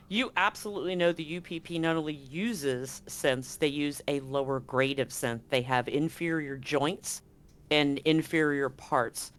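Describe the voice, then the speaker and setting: A man's voice: fairly high pitch, steady tone, a little slow. A man acting as game master, narrating and chatting in an easygoing, informal way during a live tabletop game.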